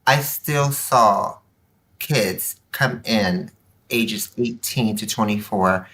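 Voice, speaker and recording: A woman speaks candidly as an interview guest, in a very bright, forceful voice. She is animated and emphatic as she tells personal stories, slipping into quoted remarks. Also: rumbling low, drawn-out words. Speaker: podcast guest, nonprofit board member. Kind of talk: candid personal storytelling in conversation.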